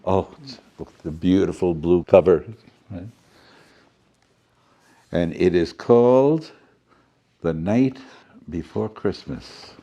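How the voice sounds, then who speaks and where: A man's voice: low-pitched, takes it slowly, pauses often, dark tone, lo-fi sound, pitched below the performer's own voice, full character voice. A man playing a jolly, fussy professor storyteller who reads a children's storybook theatrically, with chatty asides and muddled words. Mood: chatty, delighted.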